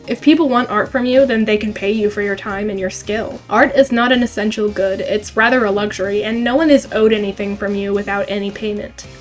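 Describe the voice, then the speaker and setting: Young woman, bit stuffy from a cold, chill friendly vlog voice. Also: fairly high pitch. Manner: friendly, chatty and reassuring. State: congested from a cold. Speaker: art YouTuber. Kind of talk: casual advice vlog.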